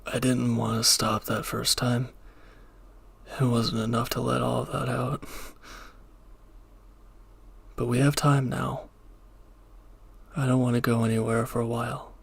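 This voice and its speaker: A husky, crackling low voice belongs to a reformed villain played by a man. He is a protective lover with a firm streak, speaking in an intimate audio drama. His pitch stays fairly contained. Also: flat delivery, moderate pace. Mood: tender, intimate. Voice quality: gravelly, breathy. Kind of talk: intimate audio roleplay acting.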